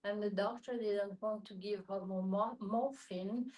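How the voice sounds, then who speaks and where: A woman speaks candidly in a group discussion at a medium pitch. Her phrasing comes in broken pieces, blunt and emphatic. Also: fairly even intonation, unhurried, a little mellow, low-quality recording. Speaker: discussion participant.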